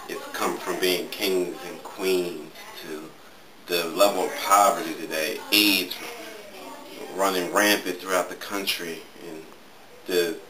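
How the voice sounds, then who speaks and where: A man's voice: deep voice, roomy sound, low-quality recording. A young college man speaking solemnly and thoughtfully in a dorm room, recorded by a camera across the room. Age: young adult. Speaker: college student interviewee.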